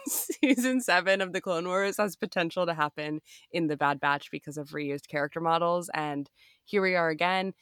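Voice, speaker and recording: Woman co-host, low voice, eager fan chatter, dry close mic. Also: moderate pace, fairly bright tone, clear, resonant tone, no room sound. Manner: enthusiastic, laughs often. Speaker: podcast co-host. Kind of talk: casual fan podcast chat.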